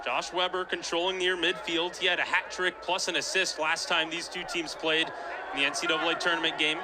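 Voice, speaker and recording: A young male sports announcer with a fairly high voice calls live play in short, clipped phrases. He describes each move as it happens, over heavy crowd and venue noise. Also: flat delivery, fairly quick. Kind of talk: live game commentary. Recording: loud crowd and arena noise.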